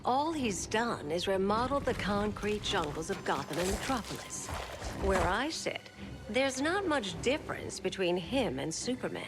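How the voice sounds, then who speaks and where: Cold plant-loving villainess: cool, haughty, lilting delivery. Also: female voice, medium pitch, big pitch swings, moderate pace. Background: game score music.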